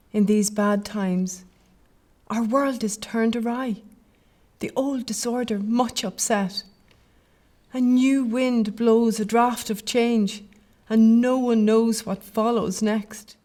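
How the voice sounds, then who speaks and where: A woman's voice: on the high side, steady tone, slow, dark tone. A woman playing a brave, tender young Gaelic clanswoman in a period audio drama, with earnest, poetic phrasing. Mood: tender, wistful.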